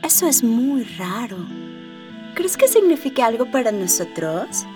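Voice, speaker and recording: A woman's voice: on the high side, very animated, a little slow, recorded from a distance, over music. A woman playing a coquettish, pouting young space princess in a radio drama. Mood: dreamy.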